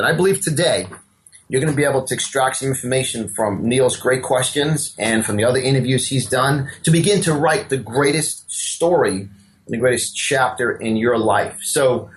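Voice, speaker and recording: A man's voice: medium pitch, low-quality recording. A man in his fifties with a New York accent, a business coach giving a guest interview in an emphatic, motivational way, repeating words and stammering a little. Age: 50s.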